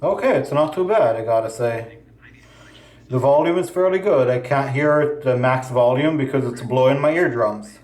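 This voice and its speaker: Guy chatting through a diy vlog, mid-pitched voice, roomy echo, talks to himself while tinkering. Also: normal speed, darkish tone. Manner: chatty, thinks aloud while fiddling with gear. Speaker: vlogger. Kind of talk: casual how-to vlog. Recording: echoey room.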